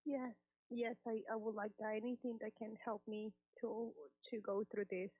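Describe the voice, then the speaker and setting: A woman, calling a helpline and talking in a hesitant, halting, emotional way. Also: on the high side, flat delivery, breathy edge. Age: 30s. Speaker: caller. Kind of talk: phone call. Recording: tinny phone-line recording.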